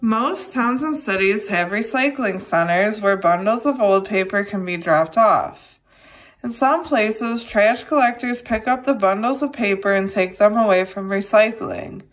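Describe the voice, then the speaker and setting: A young adult woman reading a children's educational book aloud, addressing young listeners in a deliberate way. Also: medium pitch, poor audio. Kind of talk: read-aloud children's lesson.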